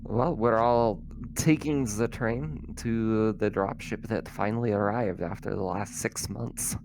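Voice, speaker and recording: A man's voice: fairly low pitch, unhurried, vocal fry, a little mellow, a little hiss or hum, poor audio. A man narrating a tabletop roleplaying game as game master, explaining scenes in a casual, hesitant way.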